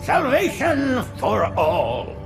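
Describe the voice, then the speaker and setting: A man's voice: very high-pitched, huge pitch swings, drawn-out words, gravelly, full character voice. A man voicing a frail, ancient alien prophet in a game cinematic, thin-voiced and reverently theatrical. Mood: pious, exalted. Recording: music behind.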